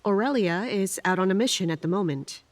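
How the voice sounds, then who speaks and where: A woman's voice: mid-range voice, fairly quick, dry recording, lightly acted. A woman plays a military captain of an audio drama, formal and guarded. She chooses her words with restraint and carries a troubled weight. Her voice sits in a medium register and sounds very close and dry. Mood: grave, confessional. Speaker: lead actor and first-person narrator. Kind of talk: audio drama acting with inner monologue.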